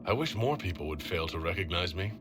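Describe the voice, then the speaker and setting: A man's voice: sits low, expressive, brisk, background noise. A male actor playing a direct, earnest heroic leader in a video game cutscene. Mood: earnest, questioning. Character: commanding heroic adult male leader of an inquisition. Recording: music behind the voice.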